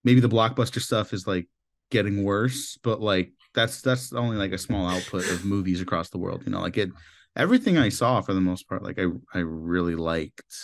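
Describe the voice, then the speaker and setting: Slightly raspy, low-voiced male podcast host. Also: moderate pace. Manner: chatty, loose, with many filler "like"s. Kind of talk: casual podcast chat.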